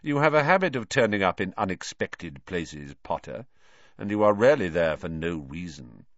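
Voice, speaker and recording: A man's voice: low-pitched, sing-song, normal speed, dry recording, highs cut off. A man voicing a cold, suspicious, menacing schoolteacher with a sneering, formal manner in an audiobook.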